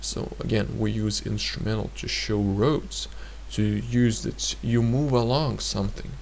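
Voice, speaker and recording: A gravelly, lower-pitched man explains grammar at an unhurried crawl. He halts often on filler sounds and says each example sentence more than once. A faint hiss sits under the recording. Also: steady tone, darkish tone. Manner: hesitant, many filler pauses, repeats example sentences. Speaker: language teacher.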